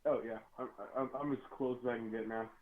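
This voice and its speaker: Deep-voiced young man on a phone line. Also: fairly even intonation. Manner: drawn-out, loose, crude. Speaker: caller. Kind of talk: phone call-in. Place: sitting on his bed.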